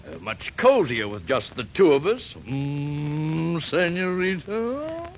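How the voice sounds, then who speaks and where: A man's voice: a little high, wildly swooping pitch, takes it slowly, exaggerated voice. A man in a 1940s radio sitcom, playing a hot-tempered, lecherous costume judge who is pompous and oily. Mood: oily, flirtatious. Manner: pompous, oily chuckling flirt who blusters. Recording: hissy 1940s radio recording.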